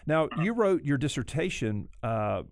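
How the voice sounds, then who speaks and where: A man's voice: on the high side, big pitch swings, measured pace, dry recording, studio quality. Formal man hosting a podcast. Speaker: podcast host. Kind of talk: podcast interview.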